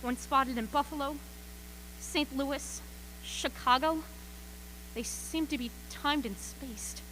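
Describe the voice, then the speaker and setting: Girl acting a high-voiced, frightened radio reporter. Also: pushed and bright, slight background noise, full character voice, rough recording. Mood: grim dread. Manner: breathless, halting, repeats words under rising panic. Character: frightened radio reporter describing an alien invasion. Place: school auxiliary gym stage.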